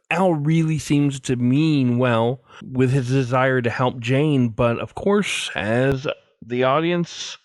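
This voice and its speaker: A man's voice: medium pitch, no room sound, studio quality. A man hosting a podcast with scripted commentary. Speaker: podcast host.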